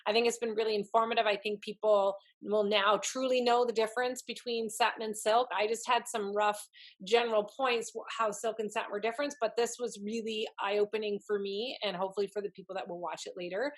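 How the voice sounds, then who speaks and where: Enthusiastic woman host, chatty and rambling, medium pitch, video call. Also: little pitch movement, brisk. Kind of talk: casual live chat.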